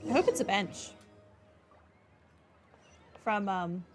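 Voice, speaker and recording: A woman streamer chats casually as she plays a video game. Her voice is mid-pitched and she muses aloud in an offhand way. Game music is clearly audible behind her. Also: very animated, slow. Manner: musing, thinking aloud. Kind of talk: casual playthrough commentary.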